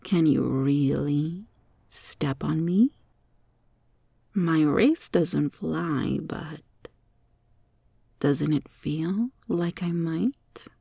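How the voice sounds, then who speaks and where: Woman playing a slow, sly, creaky roach girl. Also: deep voice, dark tone, no echo, exaggerated voice. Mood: menacing, playful. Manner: taunting, coaxing, slow deliberate delivery with breathy whispered moments. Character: sly, teasing monster cockroach girl, mocking yet cuddly. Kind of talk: ASMR roleplay monologue.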